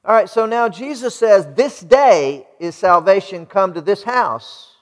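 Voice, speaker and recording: High-voiced American preacher in a reverberant hall. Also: male voice, a little slow. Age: middle-aged. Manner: emphatic, rhetorical questions to listeners. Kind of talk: sermon to a congregation. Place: large church sanctuary, indoors.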